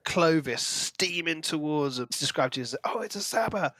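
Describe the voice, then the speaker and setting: A man's voice: on the high side, expressive, normal speed, sharp tone. A man co-hosting a podcast in casual book discussion, acting out reactions as he retells. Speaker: podcast co-host.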